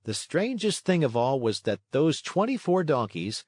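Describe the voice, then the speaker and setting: A man reading a children's story as scripted narration, expressive in his storytelling. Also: on the high side, normal speed, dry recording.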